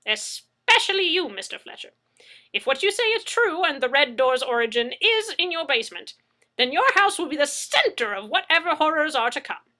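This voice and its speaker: A woman's voice: high voice, very animated, moderate pace, voice pushed up, full character voice. Woman putting on Mad Zeke, a grand old street eccentric. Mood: grandiose. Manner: theatrical, loud and lofty. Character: eccentric older male street dweller, grand and wordy.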